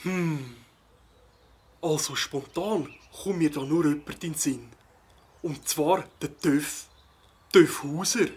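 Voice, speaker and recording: Man acting a husky Swiss German bird-watcher. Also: on the high side, slow, long pauses, a little mellow. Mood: pondering. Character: mild, puzzled male bird-watcher, absorbed in his hobby. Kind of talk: children's audio play acting.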